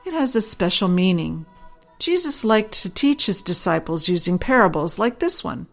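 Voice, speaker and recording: A woman's voice: medium pitch, very animated, normal speed, creaky, faint music behind. A woman voicing a patient, devout mother who explains things instructively in a children's bedtime storybook. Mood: patient explaining.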